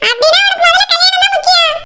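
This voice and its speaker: A man voicing a cocky, simple-minded sidekick in a village cartoon, with a squeaky pitched-up voice and chattering manner. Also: steady tone, very fast, background noise. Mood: cautioning. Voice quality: squeaky, artificially high.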